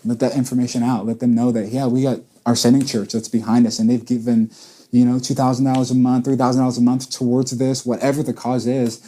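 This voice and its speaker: A man's voice: medium pitch, flat delivery, fast, mellow. A man in his late twenties, a church planter and pastor, talking earnestly in a casual panel chat and repeating words as he thinks. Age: 20s.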